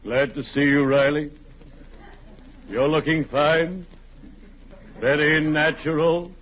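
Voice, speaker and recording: An actor playing a gloomy, genteel undertaker with formal, savoring speech and a sepulchral tone, in 1940s radio comedy style. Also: male voice, on the high side, fairly even intonation. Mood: morbidly gracious. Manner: slow, formal, unctuous, savoring words. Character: gloomy genteel undertaker, morbidly cheerful, drawn-out funereal delivery. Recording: noisy muffled old-time radio recording.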